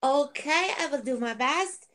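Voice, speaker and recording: A woman in an English conversation group, speaking carefully as a learner. Her voice sits high and she talks slowly, weighing each word. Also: lively intonation, fairly bright tone. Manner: careful, deliberate speech of a non-native learner.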